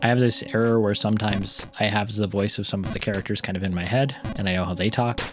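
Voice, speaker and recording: A man with a fairly low voice talks his way through a video game, drifting between topics with frequent ums and restarts. His chatter is loose and unscripted, with the odd laugh. Faint music plays underneath. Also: steady tone, fast talker. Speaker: gaming YouTuber and novelist. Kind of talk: casual commentary while playing.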